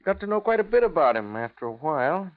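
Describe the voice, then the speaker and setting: A man's voice: fairly high pitch, big pitch swings, fast. An actor playing a sly, needling American blackmailer in a 1950s radio drama, hesitating knowingly as he insinuates. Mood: probing. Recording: dull, lo-fi 1950s radio recording.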